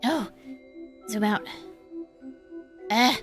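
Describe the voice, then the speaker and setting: A woman's voice: fairly high pitch, drawn-out words, fairly bright tone, soft background music. A woman hosting a game livestream, chatting casually and animatedly and sometimes muttering to herself as she plays. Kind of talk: casual livestream chat while playing a game.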